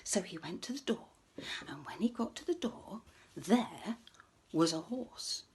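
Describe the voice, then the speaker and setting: A woman telling a children's story expressively, often dropping to a hush for suspense. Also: mid-range voice, lively intonation, measured pace, husky.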